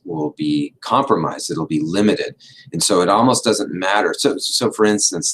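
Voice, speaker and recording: Very low-voiced man, slightly hoarse. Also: a bit bright, a little hiss or hum, low-quality recording. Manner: unhurried, explanatory, many fillers and restarts. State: losing his voice. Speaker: doctor hosting a diet support livestream. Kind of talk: casual livestream talk.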